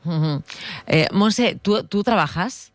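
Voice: medium pitch, lively intonation, slow pace, no room sound. A radio host holding a conversational interview, repeating words while forming questions.

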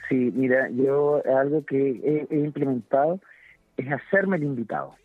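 A Chilean man in his fifties, a comedian and guest, chatting in a relaxed, halting way and often repeating words while he searches for them. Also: medium pitch, dry recording, soft background music. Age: 50s. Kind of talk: relaxed interview chat. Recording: dry tinny phone line.

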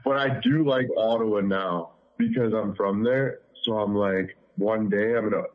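A young man from Canada with a very low voice, talking over a tinny phone line. He rambles in a relaxed, easygoing way, stringing thoughts together with lots of fillers. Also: moderate pace. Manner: rambling, laid-back, lots of "like". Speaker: guest. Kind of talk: casual interview chat.

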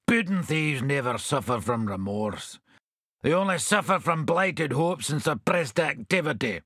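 A man's voice: a little high, big pitch swings, unhurried, a bit bright, slightly rough, no room sound, clean studio sound, heavily acted. A man voicing a rude, quarrelsome talking pudding in a storybook. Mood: scornful. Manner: rude, scornful.

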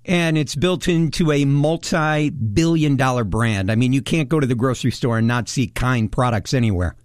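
A man's voice: medium pitch, moderate pace, no room sound, studio quality. A man hosting a radio talk show, interviewing a guest in a jokey, upbeat way.

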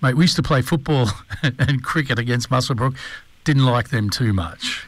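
This Australian man hosts a morning radio show in a friendly, matey way, leaning on fillers as he goes. His voice sits at an everyday middle pitch. The studio sound is close and dry. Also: normal speed, dry recording. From Hunter Valley, New South Wales, Australia. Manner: matey, chatty, many fillers. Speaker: radio host. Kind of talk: casual radio interview.

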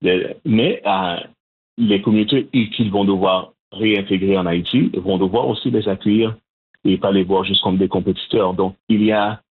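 Interview guest: man, measured, hesitant, slightly husky voice. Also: low-pitched, fairly even intonation. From Montreal, Canada. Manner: measured, hesitant, frequent restarts. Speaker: guest, Haitian community organizer. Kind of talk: interview answers. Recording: phone-line audio, husky.

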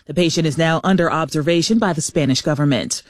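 A man anchoring a radio newscast, reading headlines crisply. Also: a little high, fast talker, dry recording, studio quality. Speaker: news anchor. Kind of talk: radio news read.

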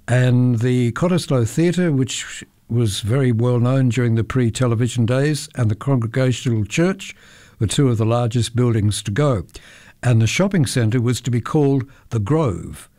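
Man, Australian accent, radio presenter, mellow voice, dry close studio sound, chatty and anecdotal. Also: medium pitch, moderate pace, no echo. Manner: chatty, anecdotal, occasional self-corrections. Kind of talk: relaxed radio chat between songs. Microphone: close studio microphone.

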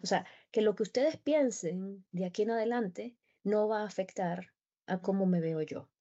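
A woman whose first language is Spanish, speaking as a guest in a conversational interview with animated, emphatic storytelling. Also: medium pitch, normal speed, dull audio.

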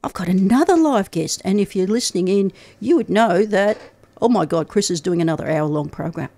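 Rambling gravelly middle-aged male host. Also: sits high, expressive, a little fast, darkish tone, no echo. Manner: rambling, many restarts. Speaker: show host. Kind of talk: casual interview chat.

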